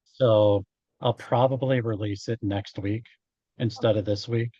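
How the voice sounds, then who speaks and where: A man giving an informal statistics lecture as a college instructor, his voice a bit hoarse from talking, explaining in a halting way. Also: on the low side, steady tone, warm tone, no echo, highs cut off. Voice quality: slightly hoarse. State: hoarse after hours of talking. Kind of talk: informal statistics lecture, working through examples.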